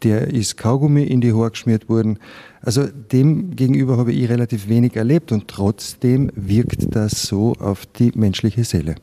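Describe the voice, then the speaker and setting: An older man with a Carinthian Austrian accent speaks in a very dark, mellow voice. He gives candid, reflective personal testimony in an interview, with emphatic stresses. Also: mid-range voice, steady tone, normal speed. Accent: Austrian German (Carinthian). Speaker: interviewee, physician.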